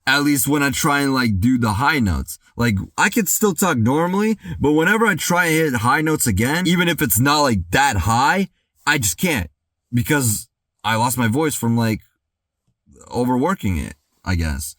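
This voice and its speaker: A young man talking through a casual music reaction, rambling and excitable, with lots of "dude" and "like", a slightly worn voice, and loud outbursts when he gets hyped. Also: medium pitch, moderate pace, no room sound. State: voice worn from singing practice.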